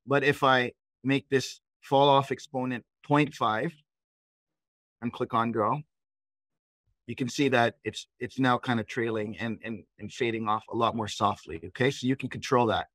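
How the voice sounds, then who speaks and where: A middle-aged man leading a live software training session in a conversational, explanatory way, often posing questions and answering them. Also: medium pitch, dry recording. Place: indoors, on a video call.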